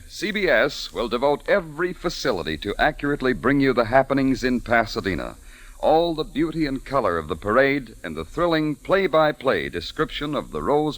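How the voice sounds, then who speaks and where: A man's voice: medium pitch, a bit bright. A man with an American accent announcing in a polished, emphatic 1940s radio style. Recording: old radio recording.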